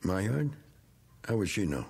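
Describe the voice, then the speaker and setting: A gruff, deep-voiced man. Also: big pitch swings, normal speed, darkish tone, exaggerated voice. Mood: guarded. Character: gruff middle-aged man clearing out his attic. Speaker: actor in a TV drama. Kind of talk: 1980s TV drama acting.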